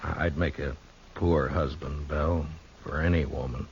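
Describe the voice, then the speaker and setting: An actor playing a stoic, plain-spoken frontier marshal in a radio western, laconic and sometimes halting. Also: male voice, very deep voice, steady tone, a little mellow, a little hiss or hum. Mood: awkward, gentle. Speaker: lead actor and narrator. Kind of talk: 1950s radio western acting. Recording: dull old radio recording with music.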